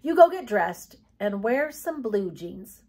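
A woman's voice: fairly high pitch, sing-song, slow, a little mellow, husky, exaggerated voice. A woman voicing a grumpy, brash boy with blunt, grumbling speech for a story.

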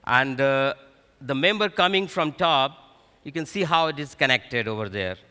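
A middle-aged man with an Indian accent giving a halting, explanatory technical presentation at a conference. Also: mid-range voice, lively intonation, pushed and bright, smooth. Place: stage of a large conference hall. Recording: echoey large hall PA sound.

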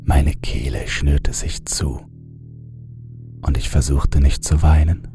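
Gravelly, hushed male horror narrator. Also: extremely low pitch, steady tone, slow pace. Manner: hushed, whispering for tension. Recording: music bed underneath.

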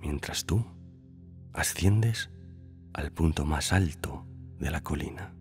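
Guy doing guided meditation, super deep voice, calm, lots of long pauses, music in the back. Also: gravelly. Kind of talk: slow scripted guided meditation. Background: background music.

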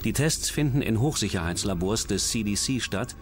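A man's voice: on the low side, animated, normal speed. A man reading scripted true-crime documentary narration as the narrator. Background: background music.

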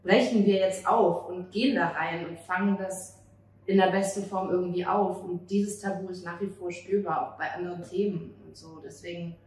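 A woman's voice: fairly low pitch, steady tone, normal speed, a little mellow. A woman in her thirties from Germany gives earnest interview answers into a handheld mic held across the table. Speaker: playwright and actress being interviewed. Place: outdoor café table on a street at night. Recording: roomy, distant mic, street-side ambient noise.

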